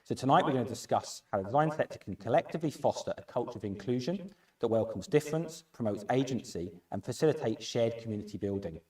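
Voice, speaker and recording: A man's voice: mid-range voice, a little mellow. A man chairing a panel in a lecture hall, opening with a formal, read-out introduction. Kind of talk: scripted introduction. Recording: roomy hall sound, mic at a distance.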